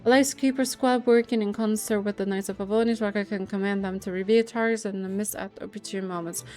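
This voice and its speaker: A woman streaming a game, reading text aloud and reacting casually with a giggly, expressive manner. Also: mid-range voice, a little fast, faint music behind.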